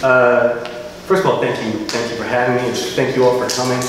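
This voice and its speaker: An American man reading his poetry at a live event, with measured, deliberate phrasing. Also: mid-range voice, little pitch movement. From Philadelphia, United States. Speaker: poet reading own work. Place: live reading venue, indoors. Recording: very echoey hall, distant mic, faint noise.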